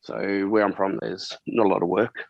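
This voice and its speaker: A man's voice: deep voice, steady tone, moderate pace, creaky, no room sound. An Australian man from Tasmania, talking plainly about his life as a guest in a casual interview. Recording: slightly dull, lo-fi audio.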